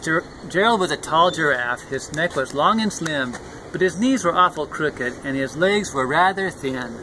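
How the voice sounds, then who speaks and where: A man's voice: a little high, expressive, normal speed. An older man, a grandfather, reads a rhyming children's picture book aloud outdoors. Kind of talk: rhyming storybook read-aloud. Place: outdoors in a park. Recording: outdoor recording with faint traffic noise. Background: faint outdoor and vehicle noise.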